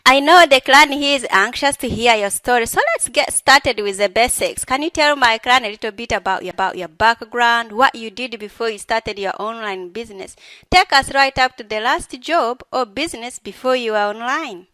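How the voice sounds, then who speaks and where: A woman hosts an interview podcast, giving short, friendly prompts to her guest. Her voice is bright and clear, a little higher than most, and recorded dry and close. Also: normal speed, no echo. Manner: brief prompts and friendly questions. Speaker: podcast host. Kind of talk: interview hosting.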